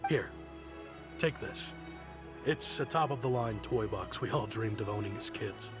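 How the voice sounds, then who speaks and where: An actor playing a proud yet kindly merchant in a video game, speaking in a friendly, reflective, softly rueful way. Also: male voice, on the low side, a little slow, lightly acted. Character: nostalgic grown-up male merchant, proud but kindly. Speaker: businessman. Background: game music.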